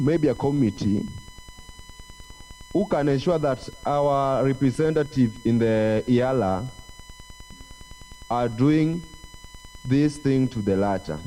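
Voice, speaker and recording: A man's voice: medium pitch, mellow. A young man delivering a formal debate speech as a youth parliament delegate, earnest and full of fillers. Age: young adult. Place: debate hall. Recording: voice from far off a mic.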